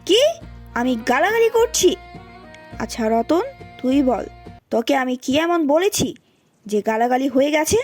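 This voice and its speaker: A woman plays a bossy village aunt in a cartoon, with a high, nagging voice. She is sharp-tongued and scheming, and music plays behind her. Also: very animated, moderate pace, pauses often. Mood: scolding. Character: shrill, scolding, scheming aunt and doting mother. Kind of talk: Bengali cartoon voice acting.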